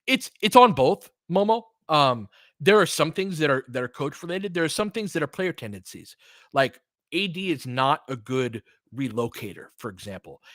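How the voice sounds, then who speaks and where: A man's voice: mid-range voice, fairly bright tone, no room sound, studio quality. A man giving live basketball play-by-play mixed with casual, long-winded analysis in a conversational, explanatory way.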